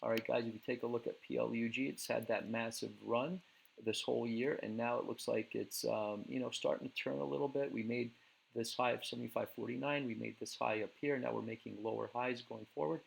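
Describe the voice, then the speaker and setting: A man hosting a casual stock market recap, talking in a relaxed way with many fillers and restarts, and losing his voice. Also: mid-range voice, brisk, creaky, husky, low-quality recording.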